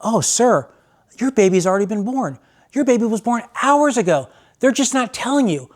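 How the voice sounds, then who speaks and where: Mischievous American dad played by a male storyteller, raised pitch, straight-faced and persuasive, comic anecdote. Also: animated, moderate pace. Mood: confiding. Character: mischievous deadpan father playing practical jokes on strangers.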